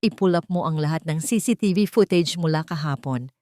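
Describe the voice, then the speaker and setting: A woman plays a wealthy father in an audio drama, anguished and urgent. He speaks in a commanding way, in a lowish register with a dry, close sound. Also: brisk, darkish tone, no echo, lightly acted. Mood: tense, commanding. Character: anguished billionaire father barking urgent orders.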